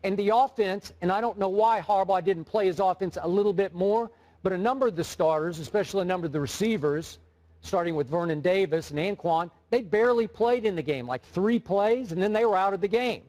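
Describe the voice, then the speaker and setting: A man's voice: high-pitched, fairly quick, a little mellow, low-quality recording. A man arguing his opinion on a studio sports debate show, emphatic and repeating words as he presses his point.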